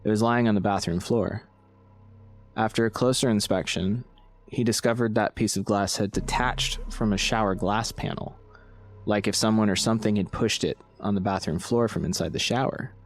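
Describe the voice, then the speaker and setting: Lower-pitched man narrating over music. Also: steady tone, normal speed. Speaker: story reader. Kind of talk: scripted story narration.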